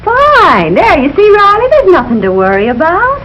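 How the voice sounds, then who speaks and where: An actress playing a patient, gently wry wife and mother in a 1940s radio sitcom. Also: very high voice, wildly swooping pitch, moderate pace, heavily acted. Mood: placating, wry. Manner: placating, gentle. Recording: muffled, noisy old radio recording.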